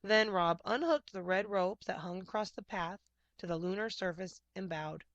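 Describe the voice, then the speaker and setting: A woman reading a children's picture book aloud in expressive narration. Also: medium pitch, moderate pace, no echo, highs cut off.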